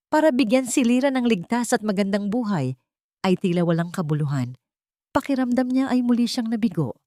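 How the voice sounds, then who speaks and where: A woman's voice: on the low side, darkish tone, no room sound, studio quality. A woman narrating a scripted romantic drama story in a dramatic storytelling style.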